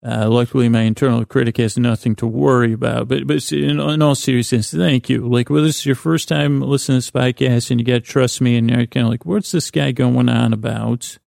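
A man with a deeply creaky, gravelly voice and a mellow, soft-edged tone rambles through a soothing bedtime monologue. His intonation stays flat and lulling as he halts, circles back and interrupts himself. The sound is close and dry, as a podcast host talking gently to listeners. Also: dry recording. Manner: meandering, halting, self-interrupting.

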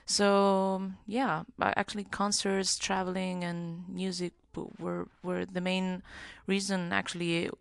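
Female musician guest, Greek accent, low and smooth with some fry, halting casual answers. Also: level tone, dry recording. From Greece. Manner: halting, searching for words in a second language. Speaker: singer-songwriter, podcast guest.

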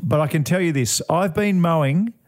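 A man's voice: a little high, normal speed, a little mellow. An Australian man hosting a podcast in casual comic banter, given to mock-outraged exaggeration. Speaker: podcast host.

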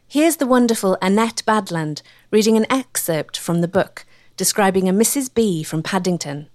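A woman reading scripted documentary narration as a measured storyteller with a wry edge. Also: mid-range voice, expressive, no echo, studio quality.

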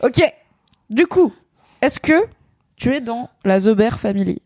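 A woman's voice: a little high, expressive, unhurried, darkish tone, no room sound. Chatty woman, dry close sound. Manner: talkative, explains at length with many fillers. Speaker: podcast host. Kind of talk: casual pop-culture chat.

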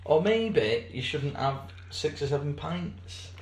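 A man co-hosting a podcast in casual banter, his voice slightly hoarse, with a dry, teasing manner. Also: on the high side, very animated, slow, a little mellow, reverberant. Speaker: podcast co-host.

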